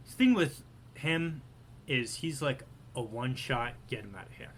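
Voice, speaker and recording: A man co-hosting a podcast, chatting casually. He is excitable and profane, and his loudness swings a lot. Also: medium pitch, moderate pace, slightly rough. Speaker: podcast co-host. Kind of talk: casual chat.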